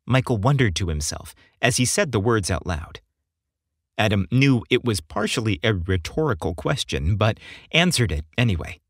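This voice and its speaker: A man's voice: fairly low pitch, big pitch swings, moderate pace, slightly rough, no room sound, clean studio sound. A man narrating a scripted story as an audiobook reader.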